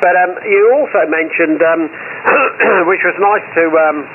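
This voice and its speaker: A man chatting casually over ham radio in a hesitant, rambling way with many pauses. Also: high-pitched. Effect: shortwave ham radio transmission. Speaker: amateur radio operator. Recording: thin narrowband shortwave radio with light noise.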